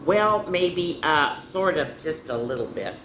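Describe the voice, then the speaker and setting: Little girl T. rex, as a woman puts her on, squeaky and whiny, in a roomy space with background noise. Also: expressive, a little slow, a bit bright. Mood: sheepish. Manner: high, wailing, childlike.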